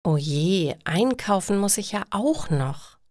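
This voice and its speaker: A woman's voice: mid-range voice, expressive, slow, darkish tone, dry recording, clean studio sound. A woman voicing a chatty woman who frets over her household chores in a story. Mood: flustered, overwhelmed.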